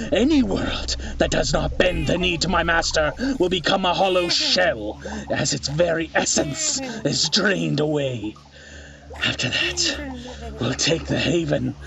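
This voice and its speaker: An actor playing a grandiose, menacing villain warlord in a fantasy audio drama, with a theatrical, drawn-out delivery. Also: male voice, sits high, creaky, far from the mic, heavily acted.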